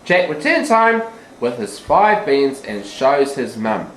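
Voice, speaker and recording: Slow, animated storyteller in an echoey room. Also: medium pitch. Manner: simple, deliberate retelling for young children. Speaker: teacher narrating a children's puppet show. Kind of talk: live storytelling with asides to the children. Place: school classroom. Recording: echoey room, background noise.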